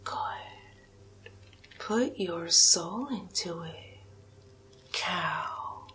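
A woman playing a commanding, coaxing alien cow captor in a breathy, whispered hypnosis ASMR roleplay. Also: lowish voice, sharp tone, exaggerated voice. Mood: hypnotic, commanding. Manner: slow, deliberate, coaxing, commanding. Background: music.

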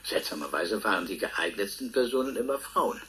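A proud professor, vividly modulated. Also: male voice, lowish voice, expressive, a bit bright. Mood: explanatory, precise. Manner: pointed, pedantic, deliberate emphasis with hesitations. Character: proud, defensive male professor, Nobel-winning scientist. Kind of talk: radio drama played as a broadcast interview.

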